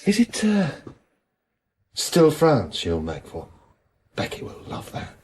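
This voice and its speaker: A man playing a weary, guarded detective in a radio crime drama, with a husky voice and a terse, dry manner. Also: fairly high pitch, wildly swooping pitch, slow, darkish tone, heavily acted. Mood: wry.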